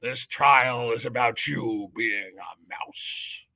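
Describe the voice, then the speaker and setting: A man voicing a pompous old male head mouse who judges a trial, speaking sternly and slowly. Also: lively intonation, creaky, pushed and bright, no echo, exaggerated voice. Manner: booming, stern, slow and solemn. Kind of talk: audiobook character reading.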